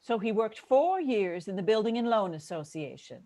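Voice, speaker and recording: A woman's voice: medium pitch, animated, moderate pace, warm tone, natural voice. A woman playing a kindly, authoritative superintendent of angels, telling a story in an old-time radio drama. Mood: storytelling, instructive. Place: remote video call recording.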